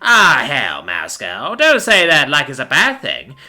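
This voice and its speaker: A man's voice: on the high side, wildly swooping pitch, measured pace, sharp tone, pitched above the performer's own voice, full character voice. A man voicing a callous, corrupt pig policeman in a story, with crude, folksy, scolding speech. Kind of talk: dramatic storybook character voice.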